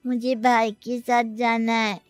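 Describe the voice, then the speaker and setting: A woman acts a little younger brother in a cartoon moral tale. The voice is fairly high, outspoken and earnest, loyal and defiant, over faint music. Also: level tone, slow. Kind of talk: animated moral story dialogue.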